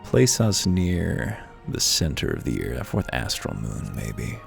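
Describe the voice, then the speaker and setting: A man's voice: rumbling low, big pitch swings, unhurried, gravelly, soft background music. A man hosting a let's-play, reading game lore aloud and breaking off for dry, wry asides.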